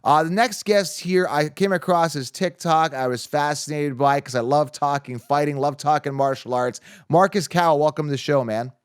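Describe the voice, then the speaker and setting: Enthusiastic young male host, smooth voice. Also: on the high side, normal speed, few pauses, dry recording, clean studio sound. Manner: enthusiastic, friendly. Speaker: podcast host. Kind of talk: casual interview talk.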